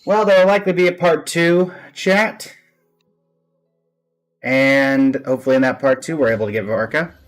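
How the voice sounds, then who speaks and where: A man's voice: mid-range voice, normal speed, long pauses. A man giving casual live-stream game commentary as the host, with a dry, resigned way of talking. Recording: music playing behind the voice. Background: background music.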